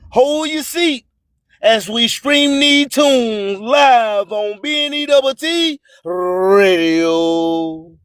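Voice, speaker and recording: High-pitched man, slow rhyming radio host. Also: clean tone, no room sound, clean studio sound. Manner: emphatic, boastful, preacher-like proclaiming with drawn-out phrases. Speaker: radio host and music promoter. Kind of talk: rhyming spoken-word radio monologue.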